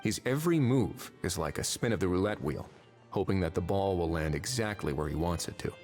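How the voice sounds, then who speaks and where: A man voicing a wry, laid-back but shrewd official in a video game, with a dry, unhurried, authoritative manner. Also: low voice, animated, a little mellow, soft background music. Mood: measured, analytical. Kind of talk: English video game voice acting.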